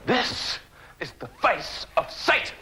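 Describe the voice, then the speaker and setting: An actor playing a fervent, money-hungry American evangelist, preaching theatrically in a bombastic, chanting style. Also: male voice, high-pitched, sing-song, slow pace, full character voice. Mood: denouncing. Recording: stage show with music and crowd.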